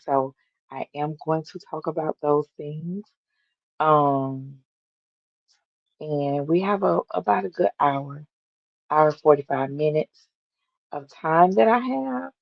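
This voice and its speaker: A woman with a gravelly, raspy morning voice hosts a live stream and chats easily with her viewers, filling pauses with ums. Her voice sits low for a woman. The audio is dull, lo-fi and dry. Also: dry recording. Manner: chatty, addresses the chat with many ums. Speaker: livestream host. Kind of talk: casual live-stream chat.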